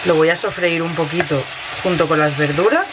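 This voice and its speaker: A woman in her thirties with a Spain Spanish accent, slightly hoarse from a cold, casually narrating a recipe as she cooks in her home kitchen. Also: low voice, brisk. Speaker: home cooking vlogger. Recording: noisy kitchen with sizzling pan. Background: frying and stirring sounds.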